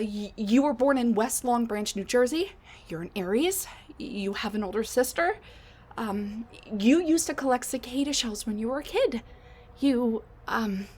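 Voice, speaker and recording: A woman performs a scatterbrained older scientist who has come back through time to find her husband. The voice is breathy and a little high, and her speech is slow and unhurried. She stammers, restarts and fills gaps with ums. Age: middle-aged. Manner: stammering, flustered, many fillers and restarts; hushed muttering to herself. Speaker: audio roleplay performer. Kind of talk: audio roleplay drama.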